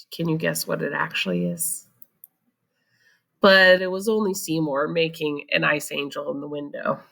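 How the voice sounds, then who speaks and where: A woman's voice: low voice, creaky, sharp tone. A woman storyteller reading a children's picture book expressively and explaining it to young listeners. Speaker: read-aloud storyteller.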